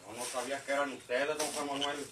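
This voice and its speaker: A nervous, hesitant countryman acted by a man with a rural northern Mexican accent. He sounds off from the microphone in an echoey space. Loud noise covers the old radio drama recording. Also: mid-range voice, little pitch movement, quick pace, distant mic, lightly acted. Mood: nervous, evasive. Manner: hesitant, stammering. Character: nervous, evasive Mexican countryman excusing himself.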